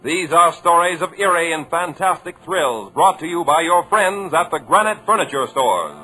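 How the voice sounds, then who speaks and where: Male radio announcer, formal read, fairly high voice, music under. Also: animated, normal speed, poor audio. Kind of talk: 1940s radio sponsor announcement.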